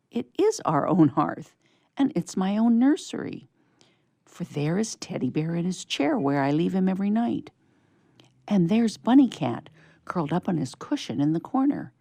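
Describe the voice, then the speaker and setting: Woman reading as a sleepy little storybook girl, low and soft. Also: dry recording, exaggerated voice. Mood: pleased discovery. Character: sleepy, contented little girl chatting to Santa.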